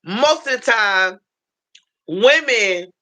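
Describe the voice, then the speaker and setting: Bright-voiced American woman, chatting live. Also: medium pitch, very slow. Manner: animated storytelling, repeats phrases, acts out quotes. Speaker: livestream host. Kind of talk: casual livestream chat.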